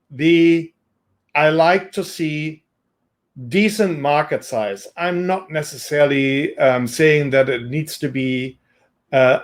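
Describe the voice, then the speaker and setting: Man with a German accent, somewhat high voice, measured businesslike pitch-style talk. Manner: measured, businesslike, with frequent ums. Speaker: investor and entrepreneur. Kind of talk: self-introduction to camera.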